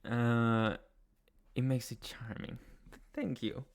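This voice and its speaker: Young chilean guy hosting a chill stream, soft and hesitant, lots of ums, mid-pitched voice. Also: flat delivery, slow pace. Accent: Chilean Spanish. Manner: soft-spoken, hesitant, many ums and restarts. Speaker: ASMR channel host. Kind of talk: casual livestream chat, reading viewer comments.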